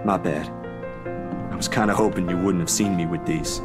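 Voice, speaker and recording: An actor playing an easygoing, friendly young man in a visual novel, talking in casual, expressive slang. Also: low-pitched, moderate pace, loud music behind the voice, natural voice. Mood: hesitant, sincere.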